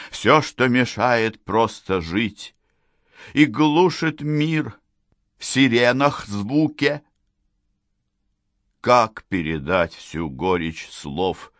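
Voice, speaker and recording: Slow, low-voiced solemn male reciter. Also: no echo, studio quality. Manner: solemn, stately, with sweeping rises and falls in pitch and loudness. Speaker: poetry reader. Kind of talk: declamatory poetry recitation.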